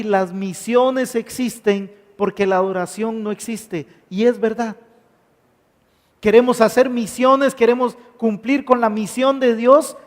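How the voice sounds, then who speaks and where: A man preaching a sermon, emphatic, stacking repeated phrases. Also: very high-pitched. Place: church hall. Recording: long hall reverb.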